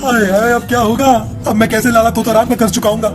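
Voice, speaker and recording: A man's voice: very high-pitched, level tone, quick pace, music in the background. A man voicing an earnest, humble male bird, a family man in a children's cartoon. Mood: distressed.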